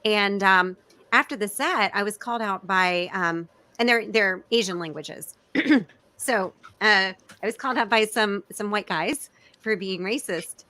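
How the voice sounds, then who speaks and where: A woman hosting a casual discussion as a comedian, talking in an animated way and acting out quoted remarks. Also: mid-range voice, unhurried, dry recording. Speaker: host and stand-up comedian.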